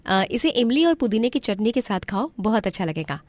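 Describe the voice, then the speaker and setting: Kind young wife for cartoon story, performed by a woman; polite, expressive, close dry sound. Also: fairly high pitch, a little fast, no room sound. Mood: cheerful. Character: kind, resourceful young married woman, eager to help.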